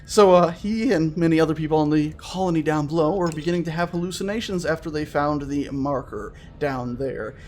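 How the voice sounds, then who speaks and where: A man's voice: fairly high pitch, a little fast, hardly any pauses, a little mellow. A man hosting a gaming video with casual, chatty, playful and enthusiastic let's-play commentary. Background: game music.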